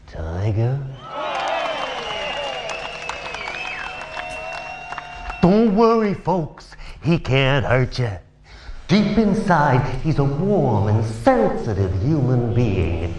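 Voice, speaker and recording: An actor playing a smug, cynical, wisecracking host of a brutal futuristic game show in a video game. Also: male voice, mid-range voice, muffled recording, a little hiss or hum. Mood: smug, sarcastic. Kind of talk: over-the-top TV game show presenting.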